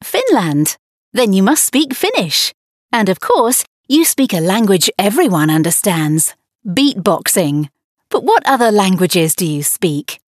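A woman playing a cheerful host of a children's internet radio show, asking clearly enunciated, upbeat questions in a scripted textbook dialogue. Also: mid-range voice, big pitch swings, unhurried, no echo, clean studio sound.

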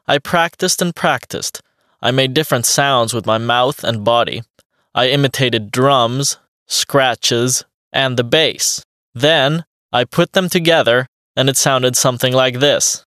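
A man's voice: mid-range voice, measured pace, sharp tone, dry recording. A man voicing a friendly young male beatboxer answers a radio host's questions in a mid-range voice. His delivery is plain and evenly paced, like a scripted language-course dialogue. The sound is dry and close, with no room around it. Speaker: interviewee.

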